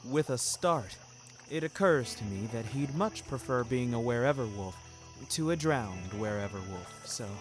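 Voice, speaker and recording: Slow, measured male storyteller over music. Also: medium pitch, animated. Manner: measured, literary, deliberate. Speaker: narrator of a fiction podcast. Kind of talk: scripted first-person story narration.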